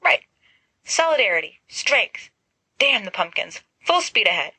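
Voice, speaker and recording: A woman voices a teenage boy wizard in a storybook, bright and close in sound. He is halting and wry, puffing himself up into dramatic mock heroism. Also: mid-range voice, very animated, slightly rough, no room sound, pitched above the performer's own voice, full character voice. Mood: bracing himself.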